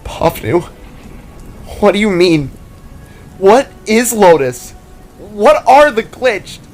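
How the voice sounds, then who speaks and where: Casting: male narrator as anxious young boy, high strained pitch, pleading, fantasy audio story with music behind. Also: very animated, slow pace, exaggerated voice. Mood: pleading, upset. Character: frightened pleading twelve-year-old boy with hidden powers.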